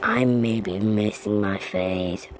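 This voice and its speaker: A woman voicing menacing animatronic monsters from a horror game, taunting prey slowly and theatrically in a creaky voice. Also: rumbling low, huge pitch swings, darkish tone, a little hiss or hum, exaggerated voice, poor audio. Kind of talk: fan recreation of horror game voice lines.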